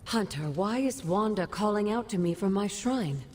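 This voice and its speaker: A mid-pitched voice, a woman acting a kindly witch spirit in a game cutscene. She is composed and deliberate, with clear music behind her. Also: expressive, natural voice. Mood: concerned, decided.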